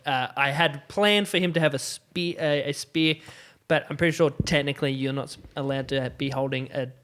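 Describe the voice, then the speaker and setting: A man who plays on an improv comedy show, explaining things casually in a hesitant, halting way. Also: medium pitch, fairly bright tone.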